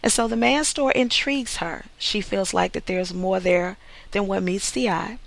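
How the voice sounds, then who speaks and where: A playwright speaks informally to introduce a scene from a play. The voice sits at a middle pitch, explaining in a measured way with emphasis on key words. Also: creaky, rough recording. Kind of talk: informal spoken introduction to camera.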